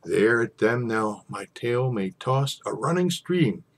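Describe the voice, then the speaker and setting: Slow, gravelly man reciting poetry dramatically. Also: medium pitch, animated. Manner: theatrical, storytelling delivery with dramatic pauses and swells. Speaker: poetry reader. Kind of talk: dramatic recitation of an old Scots narrative poem.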